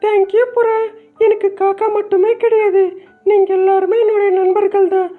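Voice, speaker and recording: Shrill chirpy little bird. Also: male voice, flat delivery, moderate pace, phone-quality sound, faint music behind. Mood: cheerful.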